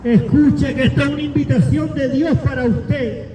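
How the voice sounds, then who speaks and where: A man's voice: very high voice, steady tone, moderate pace, far from the mic, slight background noise. A man, preaching a declamatory sermon and calling listeners to faith. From Cuba. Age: 40s. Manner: declamatory, builds up lists and rises to shouts. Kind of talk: sermon with scripture reading and altar call. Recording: echoey, long reverb, from afar.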